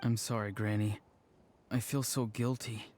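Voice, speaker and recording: A man's voice: on the low side, little pitch movement, measured pace, a little mellow, a little hiss or hum, natural voice. An actor playing a reticent, guilt-ridden young man in a video game, hesitant and subdued. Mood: guilty.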